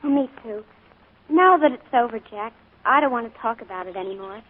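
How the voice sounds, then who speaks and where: A woman's voice: fairly high pitch, animated, a little fast, phone-quality sound. A woman acting an earnest, wounded young small-town niece, emotional, in a 1940s radio drama. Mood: wistful. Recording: thin, scratchy 1940s radio broadcast.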